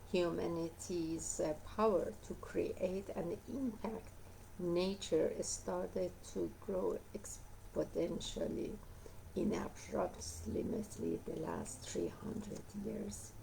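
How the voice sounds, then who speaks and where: A woman with a hoarse voice reads a nonfiction book aloud, pausing often on "uh" and going back to fix her words. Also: lowish voice, mellow, slight background noise, poor audio. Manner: halting reading with frequent uh fillers and self-corrections. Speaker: book reader.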